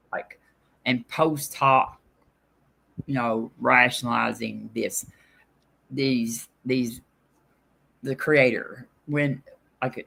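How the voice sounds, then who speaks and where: A man's voice: medium pitch, gravelly, sharp tone. A man hosting a casual debate, hesitant and filler-heavy as he reasons aloud. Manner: hesitant, many fillers and restarts. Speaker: host.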